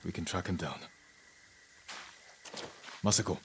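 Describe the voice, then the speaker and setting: An actor playing a grave, restrained young samurai lord in a dramatic video game. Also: male voice, low voice, unhurried, noisy background. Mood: grave, calm.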